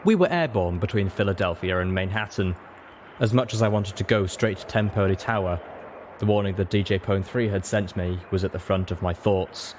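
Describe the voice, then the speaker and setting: A man's voice: low-pitched, a little mellow. A man narrating a first-person story from a script as an audiobook reader. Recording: echoey room with clear background noise.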